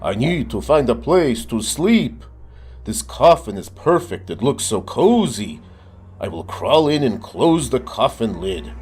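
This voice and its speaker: A man's voice: medium pitch, sing-song, unhurried, darkish tone, husky. A man voicing a smug crook in a vampire costume, theatrical and pleased with himself, for a storybook. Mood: cozy, smug. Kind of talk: read-aloud storybook voice.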